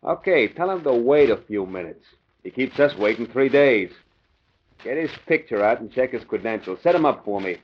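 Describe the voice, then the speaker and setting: An actor playing a bossy gangster boss with brisk, slangy tough-guy talk in a 1940s radio crime drama. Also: mid-range voice, animated, natural voice. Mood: impatient, bossy. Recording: noisy, muffled vintage radio recording.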